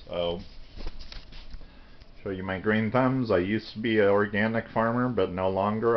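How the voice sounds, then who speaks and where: A man's voice: fairly low pitch, muffled recording, slight background noise. A middle-aged American man giving an earnest, plain-spoken, rambling video monologue on a phone held at arm's length in a kitchen. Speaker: vlogger. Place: home kitchen, indoors.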